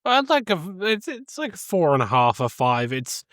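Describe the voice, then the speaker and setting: A man's voice: on the high side, big pitch swings, normal speed, a bit bright, no room sound, clean studio sound. A man co-hosting a podcast, giving his take in casual film discussion. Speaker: podcast co-host.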